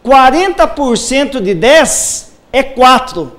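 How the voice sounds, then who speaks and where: High-voiced man explaining pension law. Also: lively intonation, takes it slowly, fairly bright tone. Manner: methodical, lays out cases step by step. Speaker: social security lawyer. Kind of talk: explaining pension rules on live TV.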